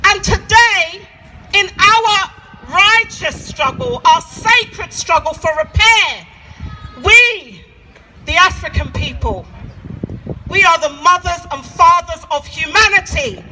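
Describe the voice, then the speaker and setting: A woman speaks at a public rally in an extremely high, forceful voice, taking her time with each phrase. Her delivery is emphatic and declamatory, and faint street and vehicle noise sits behind her. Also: expressive, takes it slowly. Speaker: activist speaker. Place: outdoors at a street gathering.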